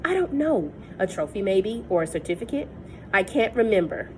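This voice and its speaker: A woman's voice: medium pitch, big pitch swings, moderate pace, a little hiss or hum, lightly acted. Woman reading as an earnest teen girl activist. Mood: matter-of-fact. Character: confident teen girl activist, self-assured.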